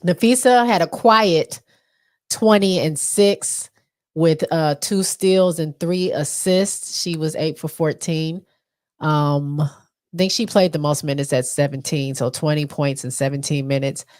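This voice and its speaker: Stuffy American woman co-host, lower voice, reads box scores with fillers. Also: measured pace, darkish tone. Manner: reads stats with many fillers. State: stuffy from allergies. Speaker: podcast co-host. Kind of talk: casual sports recap.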